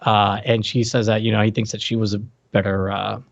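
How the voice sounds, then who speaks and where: A man's voice: fairly low pitch, flat delivery, fairly quick, gravelly, highs cut off. A man co-hosting a podcast in loose, unscripted pop-culture chat with a joking streak. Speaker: podcast co-host.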